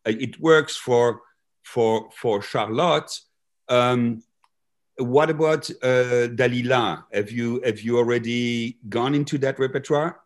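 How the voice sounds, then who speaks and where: A man in his fifties hosting a conversational interview over a video call, halting and repeating phrases as he thinks. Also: mid-range voice. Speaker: host, conductor. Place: large living room, video call.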